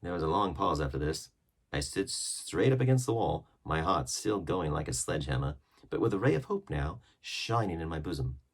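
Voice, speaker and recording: Male audiobook narrator: deep, creaky voice, expressive delivery for classic adventure fiction. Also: normal speed. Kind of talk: expressive scripted storybook narration.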